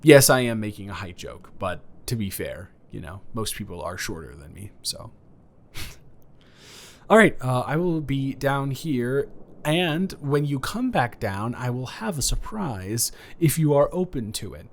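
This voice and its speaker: Man acting kind male neighbor, flustered, flirtatious, stammering, self-correcting, casual improvised audio roleplay, mid-pitched voice. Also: big pitch swings, a little slow. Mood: friendly, rambling. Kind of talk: improvised romantic roleplay, casual one-sided conversation.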